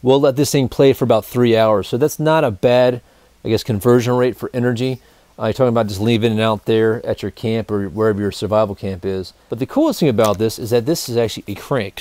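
A man's voice: medium pitch, darkish tone. A man in his thirties giving an informal, colloquial product demonstration to camera as the host of a gear review show. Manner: informal, colloquial, addressing viewers as guys. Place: indoor studio with backdrop, dry sound.

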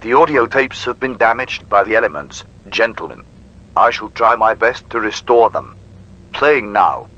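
A man's voice: lowish voice, big pitch swings, normal speed, a bit bright. A man playing a courteous, erudite machine companion in a horror drama, speaking formally through a radio-like filter. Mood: helpful, measured. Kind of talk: analog horror audio drama. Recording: dull, radio-like band-limited sound.

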